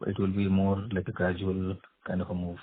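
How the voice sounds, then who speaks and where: A man's voice: sits low, monotone, a little fast, breathy edge. A man, an analyst asking questions on an earnings call in a hesitant, roundabout way. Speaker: financial analyst caller. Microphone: phone call. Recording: muffled narrow phone line.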